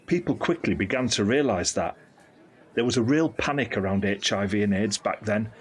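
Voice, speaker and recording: An actor playing a candid, caring British uncle in his fifties in an audio drama, with a husky voice. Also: medium pitch. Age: 50s. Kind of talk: audio drama acting, conversational monologue.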